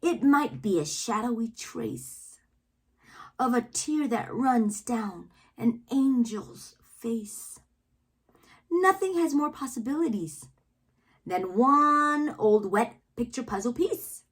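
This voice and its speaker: A woman reading poems aloud for children in a theatrical way, drawing out words and pausing between phrases. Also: on the high side, animated, slightly rough. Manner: theatrical, drawn-out words with pauses. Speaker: read-aloud host, children's reader. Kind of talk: expressive poetry read-aloud for children.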